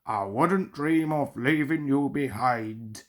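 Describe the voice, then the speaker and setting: A woman's voice: a bass voice, slow pace, pitched below the performer's own voice, heavily acted. A woman voicing a stern, pompous male railway boss giving orders in a storybook. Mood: grand. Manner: stern, pompous, bellowing at times. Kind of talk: storybook character voice.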